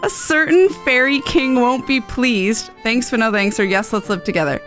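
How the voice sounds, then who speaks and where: A woman's voice: a little high, normal speed, fairly bright tone. A woman game streamer giving casual, playful, chatty commentary on her playthrough. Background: game music.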